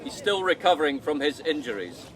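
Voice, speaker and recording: A man's voice: on the high side, sing-song, fairly quick, a bit bright, slight background noise. An actor playing a politician and father giving a formal speech at a vigil in a radio drama. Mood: solemn, formal. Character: smooth male politician and father addressing a vigil.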